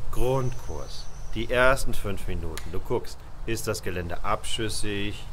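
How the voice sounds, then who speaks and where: Cheeky, bright-voiced golf coach. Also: male voice, mid-range voice. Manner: teasing, slangy. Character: cheeky, nagging male golf coach to his boss. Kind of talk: radio play acting. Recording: faint background noise.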